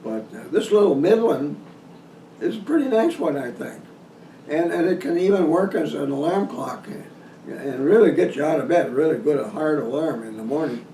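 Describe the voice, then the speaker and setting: Folksy guy teaching hunting stuff, kinda high voice, stop and start talking, echoey and far from mic. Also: expressive, mellow. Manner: halting, folksy, repeats and restarts phrases. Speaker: deer hunting instructor hosting a video seminar. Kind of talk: informal instructional talk. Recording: roomy echo, distant mic, some noise.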